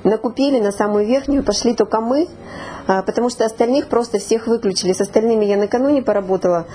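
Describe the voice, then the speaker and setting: Hoarse woman, hesitant storyteller, mellow darker tone, faint noise. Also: fairly high pitch, fast. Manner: hesitant, many fillers. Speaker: participant. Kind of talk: casual storytelling.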